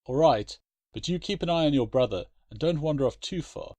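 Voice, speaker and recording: A man's voice: on the high side, lively intonation, fairly quick, darkish tone, no room sound, voice pushed up, close to an everyday voice. A man voicing a stern but loving father giving firm instructions.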